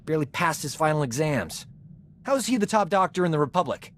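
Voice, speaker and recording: An actor playing a cocky, flirtatious medic in a video game, smooth-talking and boastful, with a theatrical way of talking. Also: male voice, fairly high pitch, lively intonation, fast, a little hiss or hum, close to an everyday voice. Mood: indignant. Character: cocky, flirtatious male medic, self-promoting charming rogue. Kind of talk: video game character dialogue.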